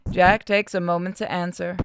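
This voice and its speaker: A woman's voice: on the low side, level tone, moderate pace, heavy background noise. A woman narrator reading the story and stage directions of a visual novel aloud.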